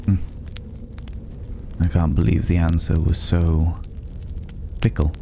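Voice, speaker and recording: An extremely deep male voice , put on by a man, plays a cultured, reclusive stranger in a fantasy ASMR roleplay. He speaks slowly, with thoughtful pauses and soft drops toward a whisper. Clear background noise sits behind the voice. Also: monotone, exaggerated voice. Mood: probing, disdainful. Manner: measured, pausing, philosophical, lapsing into breathy whispers. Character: mysterious, cultured, solitary male stranger living among dragon's hoard.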